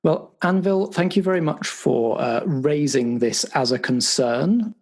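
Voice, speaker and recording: A man's voice: fairly high pitch, animated, measured pace, a little mellow. A man playing an officious spy agency superior in an improvised roleplay, speaking in a hesitant, formal, politely pedantic way. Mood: officious, measured. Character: officious, bureaucratic male spy agency superior running a debriefing. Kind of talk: improvised roleplay audio drama.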